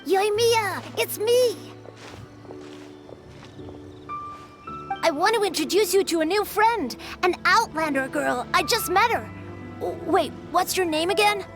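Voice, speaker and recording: Eager, very high-voiced child. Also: female voice, animated, moderate pace. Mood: excited. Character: eager, boastful young child who plays beetle fights. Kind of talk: English video game voice acting. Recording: music playing behind the voice.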